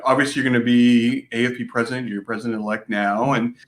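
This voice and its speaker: A man's voice: mid-range voice, steady tone, fast. An American man moderating an interview in a casual, chatty style. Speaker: host, moderator. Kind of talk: casual online interview hosting.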